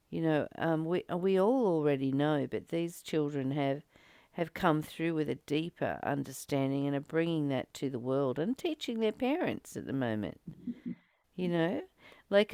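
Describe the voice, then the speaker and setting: Woman, smooth clear voice, close dry sound, conversational spiritual study leader who wanders and searches for words. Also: deep voice, darkish tone, no room sound. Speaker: psychic healer leading a spiritual book study. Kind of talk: casual spiritual discussion with some read-aloud passages.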